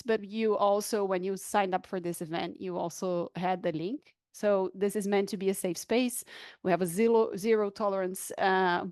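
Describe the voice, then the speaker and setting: A woman's voice: medium pitch, no room sound. A woman hosting an online event, introducing a speaker in a friendly tone with frequent fillers. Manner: friendly, filler-heavy moderator. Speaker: event host. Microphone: video call.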